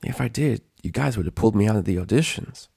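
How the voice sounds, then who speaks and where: A man's voice: fairly low pitch, animated, fast, gravelly, mellow, breathy edge, full character voice. A man voicing a brash, stubborn young female cartoon pony with a blunt, defiant manner. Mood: reassuring.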